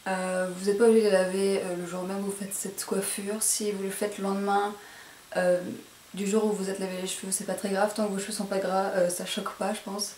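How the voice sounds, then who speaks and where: A woman's voice: mid-range voice, little pitch movement, fairly quick, a little mellow. A woman presenting a casual, chatty hair tutorial to camera, speaking a little carefully and self-consciously. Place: indoor room at home. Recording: roomy echo, faint background noise.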